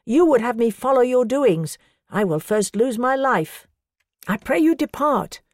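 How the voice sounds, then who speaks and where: A woman's voice: normal speed, a little mellow, dry recording, studio quality, natural voice. Woman voicing a fiery devout woman. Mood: fervent. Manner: impassioned, scolding, rising pitch. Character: defiant pious woman facing her accusers.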